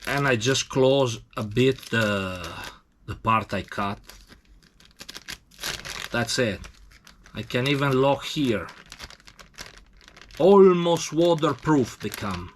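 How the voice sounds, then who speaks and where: A man from Italy with an Italian accent, speaking halting, broken English as he gives a casual hands-on demonstration. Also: mid-range voice, lively intonation, slight background noise. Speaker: tattoo machine demonstrator.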